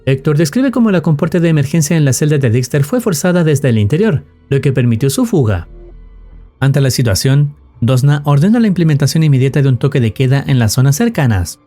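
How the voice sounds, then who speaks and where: A man's voice: a little high, animated, brisk, mellow, faint music behind. A man delivering formal, dramatic scripted narration that recaps an analog horror story.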